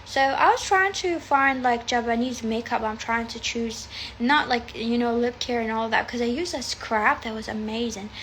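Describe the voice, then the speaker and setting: Woman, Arabic native speaker, tarot reader on a phone live: high, bright, raspy-fried voice, loose and profane. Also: normal speed, a little hiss or hum, low-quality recording. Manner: chatty, rambling, swears freely. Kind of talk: casual livestream chat. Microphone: phone livestream.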